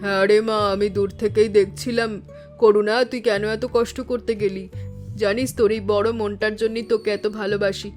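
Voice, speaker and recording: Woman as middle-aged village mother, kindly, even melody, cartoon drama, soft music bed. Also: mid-range voice, brisk, natural voice. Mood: affectionate. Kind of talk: animated moral story voice acting. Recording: faint music behind the voice.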